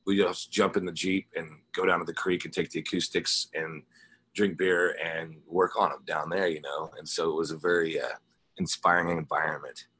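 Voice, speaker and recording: Male musician guest, deep husky voice, laid-back and rambling in a casual interview. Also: fairly even intonation, moderate pace, fairly bright tone. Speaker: musician guest, guitarist and singer in a rock band.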